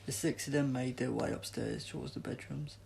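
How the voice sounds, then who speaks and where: A man's voice: medium pitch, fairly even intonation, fairly quick, vocal fry, darkish tone, a little hiss or hum. A man reads a storybook aloud as narrator, sometimes stumbling over words and correcting himself. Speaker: audiobook reader.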